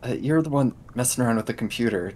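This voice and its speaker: A man's voice: expressive, fast talker, a little mellow. A man narrating and role-playing his character in a tabletop role-playing game. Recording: low rumble and background noise.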